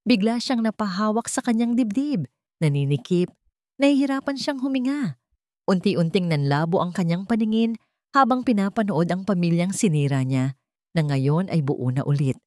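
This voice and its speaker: A woman's voice: fairly low pitch, darkish tone, no echo, studio quality. A woman with a Filipino accent reading a scripted dramatic story as its narrator.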